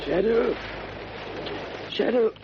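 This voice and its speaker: An actor playing a frightened, weak crook in an old radio drama, halting and pleading. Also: male voice, high-pitched. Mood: dying, gasping. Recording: noisy, muffled vintage radio recording.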